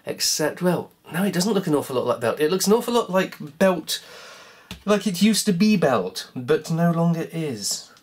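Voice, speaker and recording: A croaky speaker rambles through a self-mocking running commentary while taking apart a cassette player at home. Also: medium pitch, sing-song, normal speed, slight background noise. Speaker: hobbyist repair vlogger.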